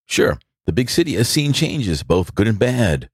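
A man's voice: fairly low pitch, big pitch swings, moderate pace, husky, dry recording, studio quality. An older man with a New York accent, hosting a podcast and giving blunt, sarcastic opinion commentary. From New York City. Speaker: podcast host.

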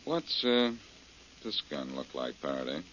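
A man's voice: a bass voice, sing-song, unhurried, fairly bright tone, heavily acted. A man playing a cool, clever amateur detective in an old radio mystery, wry and self-assured. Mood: wry, confident. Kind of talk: 1940s radio crime drama acting. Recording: muffled, noisy old-time radio recording.

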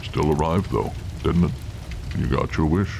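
A man playing a bitter, weary, centuries-old Santa Claus with a slow, taunting delivery in an audio drama. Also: extremely low pitch, creaky, darkish tone, a little hiss or hum, heavily acted. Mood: knowing, menacing. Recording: music and rain under the voice.

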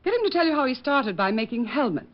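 Sultry, teasing model, lively voice, lo-fi vintage radio. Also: female voice, high voice, fast. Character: coolly flirtatious female model. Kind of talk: 1950s radio acting. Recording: dull lo-fi old radio broadcast.

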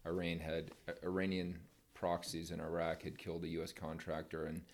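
Middle-aged veteran guest, very low voice, measured and firm. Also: male voice, monotone. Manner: measured, plain-spoken, firm opinions. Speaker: podcast guest, military veteran. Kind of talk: casual interview chat.

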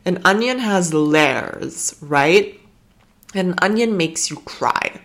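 Low, slow-talking woman with a cold. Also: lively intonation. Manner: gentle, affectionate, rambling with fillers. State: has a cold. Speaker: podcast host. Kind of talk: casual self-help podcast talk. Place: home in Germany.

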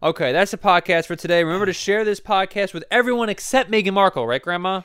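A man's voice: high voice, quick pace, a bit bright, clear, resonant tone, no room sound, clean studio sound. A young man hosting a casual podcast, teasing and playfully questioning his grandmother. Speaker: podcast host.

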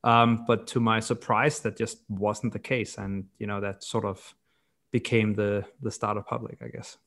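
A man in his thirties from Denmark answering interview questions as a startup founder, informal and explanatory, with soft qualifiers. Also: fairly low pitch, flat delivery, normal speed. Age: 30s. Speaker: podcast guest, company co-founder and CEO.

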